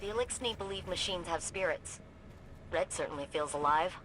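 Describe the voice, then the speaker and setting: A woman playing a wry, quick-witted hacker and field operative in a video game, talking casually. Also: on the low side, fairly bright tone, slight background noise, natural voice, rough recording. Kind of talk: video game dramatic acting.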